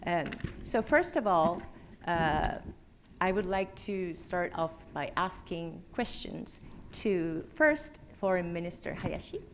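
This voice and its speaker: A woman moderating a conference panel, speaking politely and with measured pacing, with frequent ums and uhs. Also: fairly low pitch, expressive, a little hiss or hum.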